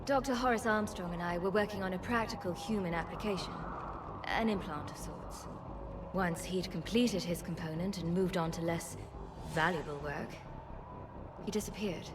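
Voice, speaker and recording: Woman playing a low-voiced, composed scientist, noisy ambience. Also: natural voice. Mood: reflective. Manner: measured, articulate, reflective. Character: earnest, composed adult woman scientist, former research physicist. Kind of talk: video game voice acting.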